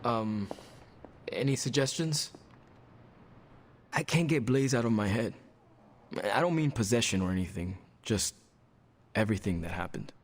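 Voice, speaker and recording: An actor playing an earnest, conflicted young superhero who hesitates and searches for words in a game cutscene. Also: male voice, on the low side, expressive, a little slow, pauses often. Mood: troubled, unsure. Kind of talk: video game cutscene acting.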